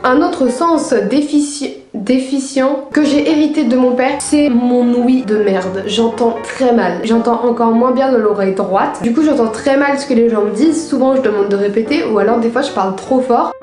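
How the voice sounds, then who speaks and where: A young woman with a French accent from France chats to her viewers in a fairly high, gentle, dark-edged voice. She tells personal anecdotes informally, with slang and a confiding manner. Music plays underneath her. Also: normal speed, hardly any pauses. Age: young adult. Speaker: video creator. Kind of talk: casual personal anecdotes to camera. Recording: roomy echo, music underneath.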